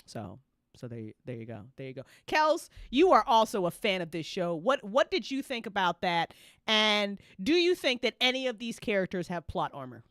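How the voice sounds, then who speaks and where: Lively woman hosting a casual chat. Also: medium pitch, normal speed, dry recording, clean studio sound. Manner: playful, gushing, repeats phrases for emphasis. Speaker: host and show creator. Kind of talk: casual after-show chat, questioning guests.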